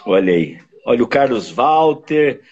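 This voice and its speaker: A man's voice: mid-range voice, big pitch swings, measured pace, a little mellow. An older man from the São Paulo countryside with a caipira Brazilian accent, hosting a casual fitness live stream and chatting about viewers' towns. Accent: São Paulo countryside caipira Brazilian Portuguese. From countryside of São Paulo state, Brazil. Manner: chatty, reads out viewers' towns, many tag questions. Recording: muffled husky online call audio.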